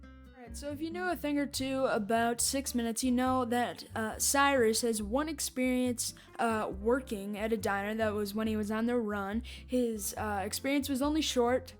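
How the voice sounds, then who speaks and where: A woman's voice: fairly high pitch, normal speed, soft background music. A woman giving casual fan-theory commentary as a host, rambling and repeating her points. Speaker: podcast host and commentator reacting to clips.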